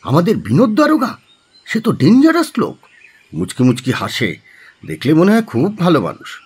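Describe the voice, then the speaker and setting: A man playing a fussy, blunt town doctor in a Bengali audio drama, speaking in an excitable, scolding manner. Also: on the high side, moderate pace, slightly rough, exaggerated voice. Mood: agitated. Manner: excitable, scolding, peppers talk with English words.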